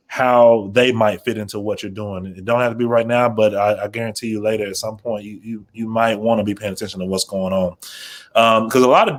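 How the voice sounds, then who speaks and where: An American man speaking as a radio program manager on a panel, explaining informally and often restarting phrases as he thinks. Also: fairly low pitch.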